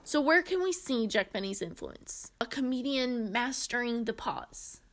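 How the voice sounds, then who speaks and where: A woman's voice: a little high, very animated, unhurried, heavy background noise. A woman in her twenties presenting a scripted video essay as its narrator. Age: 20s.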